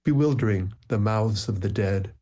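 A man whose first language is Ukrainian, a poet speaking thoughtfully and reflectively in measured phrases as an interview guest. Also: fairly low pitch, mellow. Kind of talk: thoughtful conversation and poetry reading.

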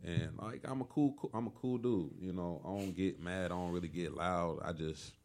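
A young man with a deep voice, talking as a musician guest in a calm, laid-back way. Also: moderate pace, slight background noise. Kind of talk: casual interview chat.

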